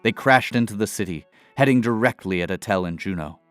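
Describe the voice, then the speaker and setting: A man narrating a scripted fantasy adventure in a dramatic, measured storytelling manner. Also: fairly low pitch, clean tone, no room sound, faint music behind.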